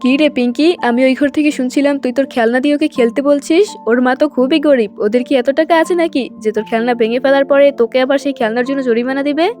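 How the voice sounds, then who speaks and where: A woman's voice: sits high, quick pace, hardly any pauses, a little mellow, natural voice. A woman playing a haughty, scolding mother in a children's cartoon, full of scorn. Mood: angry, scornful. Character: snobbish, scolding mother of a young girl. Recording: music behind the voice.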